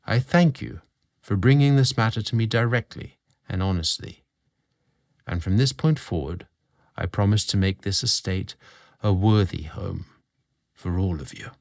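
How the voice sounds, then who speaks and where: Audio-story manor lord, played by a man: dignified, repentant, formal and earnest, lowish pitch. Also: unhurried, creaky, a little mellow. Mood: solemn, earnest.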